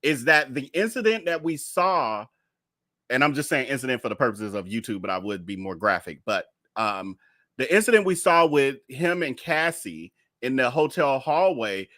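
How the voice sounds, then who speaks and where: A man's voice: fairly high pitch, fairly bright tone, dry recording, clean studio sound. A man commentating casually on a news story while he is losing his voice. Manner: chatty, thinks aloud while searching for clips. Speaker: YouTube commentator.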